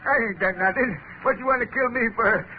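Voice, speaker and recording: An actor playing a plain-spoken, excitable underling in a 1930s radio drama. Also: male voice, very high voice, fairly quick. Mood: anxious protest. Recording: very noisy, tinny 1930s radio recording.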